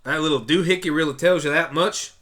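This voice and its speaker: A man's voice: on the high side, a little fast, fairly bright tone, voice pushed up. A man voicing a stubborn, protective country mare pony with a folksy Southern US drawl, in an amateur audio drama. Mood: blunt, impatient. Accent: Southern US country drawl, put on. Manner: folksy, blunt, pleading.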